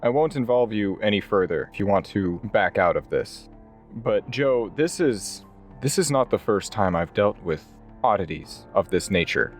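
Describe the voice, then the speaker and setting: Lower-pitched, lively man roleplaying. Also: moderate pace, soft background music. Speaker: player in a tabletop game. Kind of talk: improvised in-character roleplay.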